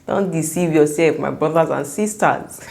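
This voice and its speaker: A young woman with a Nigerian accent and a slightly croaky voice, giving chatty, emphatic advice in a vlog. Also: low-pitched, moderate pace, a little mellow. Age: young adult. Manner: emphatic, repeats words for stress. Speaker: vlogger sharing first-time mom advice.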